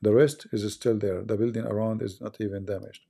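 Gravelly man with a Middle Eastern accent. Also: on the low side, level tone, fairly quick, warm tone. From Middle East. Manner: blunt, repetitive, mocking. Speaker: live stream host. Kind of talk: casual live stream commentary.

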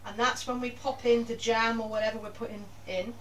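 Older British woman, highish voice, distant mic. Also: little pitch movement, fairly quick, a bit bright. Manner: directive, checking the children follow. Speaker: grandmother teaching children to bake. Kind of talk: casual step-by-step instruction to children. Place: small domestic kitchen. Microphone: handheld camera a few feet away. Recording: distant camera mic, some background noise.

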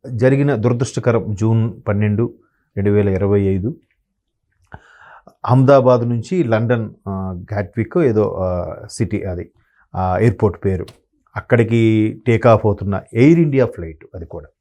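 A man speaking conversational commentary as an analyst guest, hesitant and often pausing to search for words. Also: fairly low pitch, mellow. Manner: hesitant, many fillers and word-searching pauses.

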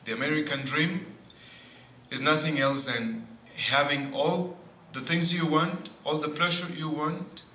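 Slow, bright-toned preaching priest in church. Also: male voice, on the high side, fairly even intonation. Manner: slow, deliberate preaching with pauses and frequent "see". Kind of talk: sermon. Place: church sanctuary at a pulpit. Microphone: boom mic at pulpit. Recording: echoey church with faint noise.

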